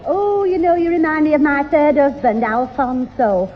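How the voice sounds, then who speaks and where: High, gushing, doting widow neighbour. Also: female voice, animated, moderate pace, faint music behind. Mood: chatty. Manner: coaxing, baby-talking, gushing. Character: fussing, doting, man-hungry middle-aged widowed neighbour woman. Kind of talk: 1960s British radio sitcom acting. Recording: dull 1960s radio recording.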